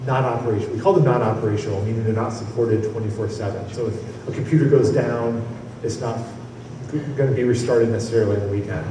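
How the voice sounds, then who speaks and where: A man speaks in a conference panel, his voice distant and caught in a big echoey hall. He rambles through his answer, restarting phrases and chuckling now and then. A steady wash of room noise sits under him. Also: mid-range voice, fast. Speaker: weather service forecaster on a panel. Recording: echoey hall from far off, noisy.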